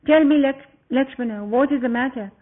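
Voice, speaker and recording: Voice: high voice, normal speed, voice pushed up. A storyteller voicing an anxious young queen, raised and pleading. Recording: tinny phone-like lo-fi sound.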